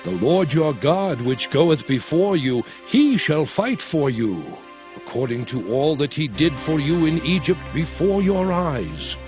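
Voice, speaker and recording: Moses, slow and solemn. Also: male voice, on the high side, very animated, a little mellow. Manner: slow, solemn, dramatic recounting. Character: Moses, aged solemn male prophet and leader. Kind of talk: dramatized scripture narration. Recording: studio voice over music. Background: music.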